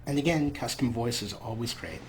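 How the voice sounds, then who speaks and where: A man's voice: medium pitch, a little fast, noisy background. A man giving casual, explanatory let's play commentary in a chatty manner as the host of a gaming video.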